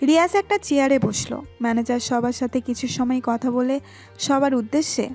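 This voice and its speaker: A woman with a high voice narrates a romantic audio story. Her delivery is scripted storytelling, set over a light bed of music. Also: normal speed, darkish tone.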